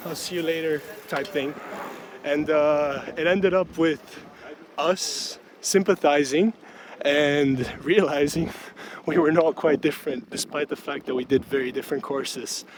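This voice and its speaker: A man's voice: fairly high pitch, fairly even intonation, a little slow. A young Brazilian man in his twenties, a native Portuguese speaker, talking in a casual, musing vlog and laughing often as he walks outdoors. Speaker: student vlogger. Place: city street outdoors. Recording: street noise behind the voice. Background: traffic and city street ambience.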